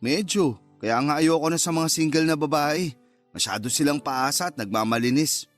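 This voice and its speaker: A man's voice: a little high, normal speed, soft background music, natural voice. An actor playing a hesitant but candid young man in a radio drama, casual and mildly defensive as he talks. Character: hesitant, candid younger man who courts older women. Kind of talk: conversational radio drama acting.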